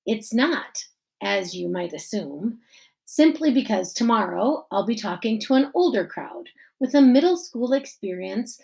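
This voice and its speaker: Woman as male principal, storybook graduation speech, slow measured pace, gravelly creak, earnest, formal, emphatic. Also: on the high side, darkish tone, heavily acted. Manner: measured, emphatic public-speaking delivery with deliberate pauses. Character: middle-aged male school principal giving warm, earnest graduation speech. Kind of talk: children's novel read-aloud.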